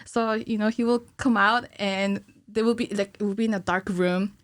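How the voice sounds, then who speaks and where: A young woman talks as an interview guest in a casual, chatty way. Her pitch is medium. The sound is close and dry, from a small studio. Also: steady tone, normal speed, dry recording. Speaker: podcast guest, cinematic animator. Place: small curtained podcast studio. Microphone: studio microphone on stand, close.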